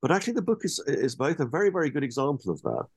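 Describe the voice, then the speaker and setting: A man's voice: medium pitch, sing-song, very fast, slightly rough. A middle-aged man speaking as an author guest in an academic interview, reflective and hesitant, restarting his phrases often. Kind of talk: academic interview over a call.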